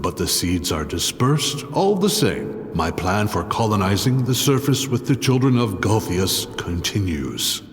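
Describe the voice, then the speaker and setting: A man's voice: fairly low pitch, sing-song, measured pace, hardly any pauses. An actor playing a mad, haughty outcast druid villain in a fantasy audio drama, pompous and mocking in his theatrical delivery. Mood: proud, fanatical. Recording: long reverb, music underneath.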